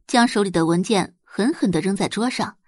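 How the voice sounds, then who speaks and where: A woman's voice: medium pitch, moderate pace, darkish tone, no room sound, clean studio sound. A woman giving dramatic scripted narration of romance fiction as an audiobook narrator.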